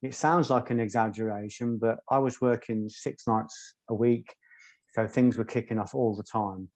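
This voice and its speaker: A soft-spoken man, a guest telling stories in an interview in a measured, articulate way. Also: medium pitch, steady tone, dry recording. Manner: measured, articulate, occasional ums. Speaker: guest, former doorman. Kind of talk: reflective interview storytelling.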